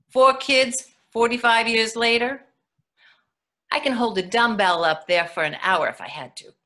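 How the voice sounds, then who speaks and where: Wry, protective mother played by a woman, theatrical monologue, highish and very expressive, deliberate pauses. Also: full character voice. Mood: wry, instructing. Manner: deliberate, dramatic delivery with emphatic pauses. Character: wry, blunt, protective mother telling her story. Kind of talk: staged reading monologue.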